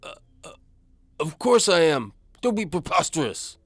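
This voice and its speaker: A man voicing a blustering, forceful mercenary villain in an audio drama, who passes himself off as English. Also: a little high, very animated, unhurried, no echo, voice pushed up. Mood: flustered. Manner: forceful, sputtering when exposed.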